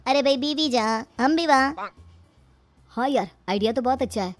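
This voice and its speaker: A woman plays a lazy young son-in-law in a comic story, wheedling and breezy. The pitch is fairly high, with light music behind. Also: normal speed, lightly acted. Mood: breezy flattery.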